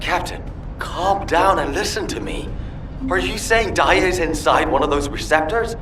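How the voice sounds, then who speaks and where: A man's voice: a little high, normal speed, lightly acted. A man playing a worried military subordinate in a video game drama, earnest and imploring in manner. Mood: urgent, reasoning. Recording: clear background noise.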